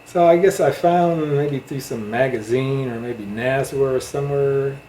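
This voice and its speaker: A middle-aged American man talks casually as an interviewer, reminiscing as he goes. His medium-pitched voice is recorded in a roomy, echoing space with faint background noise. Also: unhurried, warm tone. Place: indoor room at a home.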